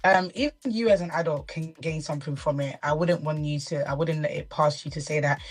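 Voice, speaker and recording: A woman's voice: low-pitched, brisk. A woman answering interview questions as a guest author.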